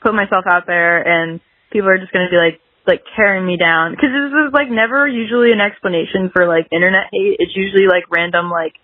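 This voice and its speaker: A woman's voice: on the low side, brisk, no echo, phone-quality sound. A woman chatting casually as a musician guest in an interview, rambling and peppering her talk with "like". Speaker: guest musician, singer-songwriter.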